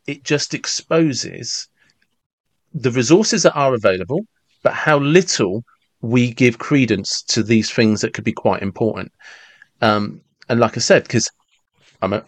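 A man's voice: medium pitch, no room sound. A man in his forties hosting a podcast, talking animatedly and often restarting his words as he reasons aloud. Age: 40s. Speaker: podcast host. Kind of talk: casual conversation.

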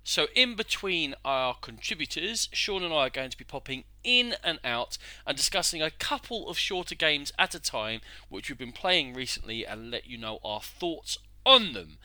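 A man's voice: a little high, very animated, a little slow, pushed and bright, no echo. A man co-hosting a podcast, thanking guests and introducing segments in an upbeat way. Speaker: podcast co-host.

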